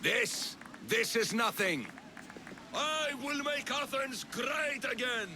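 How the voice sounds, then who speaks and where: A man's voice: extremely high pitch, expressive, slow, background noise, full character voice. A man playing a ranting demagogue in a video game, delivering grandiose oratory to stir up a mob. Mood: defiant, grandiose. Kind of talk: video game cutscene acting.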